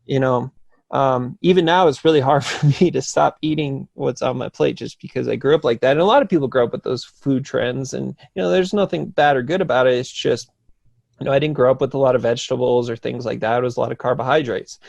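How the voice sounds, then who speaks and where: A man's voice: mid-range voice, fairly quick, vocal fry, darkish tone, dry recording. A man from New Jersey, a nutrition coach talking casually as a podcast guest, easygoing and full of fillers. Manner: easygoing, loose with fillers and restarts. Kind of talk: casual conversation.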